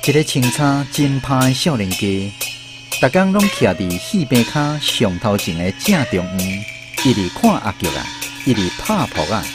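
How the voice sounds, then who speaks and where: A man's voice: mid-range voice, sing-song, normal speed. A man with a Taiwanese accent narrating a radio drama as a storyteller. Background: music.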